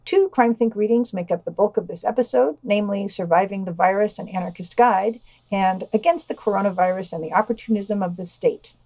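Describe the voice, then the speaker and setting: A woman reads scripted news items on a podcast in a mellow, dark voice of medium pitch. Her delivery is dry and understated, and the sound is very dry with no room echo. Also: fairly quick. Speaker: podcast co-host reading news. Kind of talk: scripted news reading with quotes and wry commentary.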